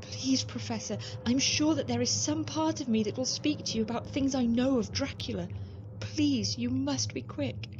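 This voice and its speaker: A woman's voice: sits high, moderate pace, highs cut off, noisy background, close to an everyday voice. A woman playing an anguished young wife under a vampire's hold, fervent and melodramatic in a radio drama. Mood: pleading.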